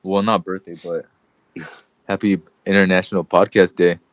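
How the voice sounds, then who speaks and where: A man's voice: low-pitched, little pitch movement, normal speed, no echo. A man co-hosting a podcast in casual chat, congested and laughing often as he jokes around. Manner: laughs often, loose and joking. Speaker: podcast co-host.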